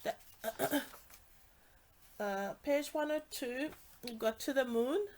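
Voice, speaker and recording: A woman's voice: sits high, slow, darkish tone, slight background noise. A woman with a congested, nasal voice, casually walking through craft products with many fillers and restarts. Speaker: stamping demonstrator.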